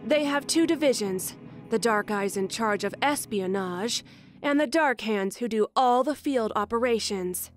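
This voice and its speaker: A woman plays a squirrel queen in a cartoon dub, regal and composed. Her fairly high voice is measured and diplomatic, with faint music underneath. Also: lightly acted. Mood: serious, explaining. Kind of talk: comic dub voice acting.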